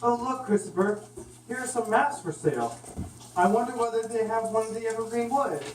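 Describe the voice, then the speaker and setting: A plucky, kindly mouse hero in a puppet show, played by a woman, speaks up earnestly in a high voice. The recording is echoey and set back from the mic. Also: a little fast, darkish tone, close to an everyday voice. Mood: curious. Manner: earnest, outspoken. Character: bold, kind-hearted young male mouse adventurer. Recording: echoey, distant mic, faint noise.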